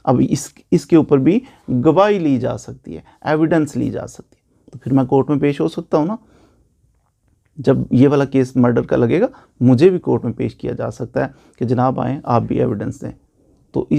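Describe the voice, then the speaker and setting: A male lecturer with a Pakistani accent explains things through everyday examples. His voice is dark and soft-edged, with a lot of fry in it. He circles back to key points and poses questions that he then answers. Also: on the high side. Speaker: law lecturer and advocate.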